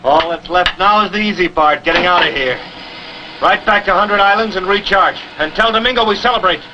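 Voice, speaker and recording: An actor playing a resolute, wry World War Two submarine captain, with clipped commands and dry understatement. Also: male voice, high-pitched, fairly quick, background noise, lightly acted. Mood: elated. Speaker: actor in a television war drama. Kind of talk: 1950s military drama acting. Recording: dull 1950s TV soundtrack with music.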